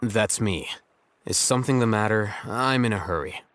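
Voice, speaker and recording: A man voicing an aloof young scholar who speaks in a measured, dry, cutting way. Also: fairly low pitch, fairly bright tone, slight background noise, lightly acted. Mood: cool. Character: aloof male scholar, cool and condescending. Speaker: scholar, scribe.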